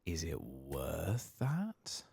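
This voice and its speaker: Male ASMR game streamer: husky, soft and slow, half-whispered musing with varied intonation. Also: a bass voice, huge pitch swings, no echo. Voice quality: soft-spoken, often dropping to a whisper. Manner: unhurried, murmured musing to himself. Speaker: gaming streamer, ASMR channel host.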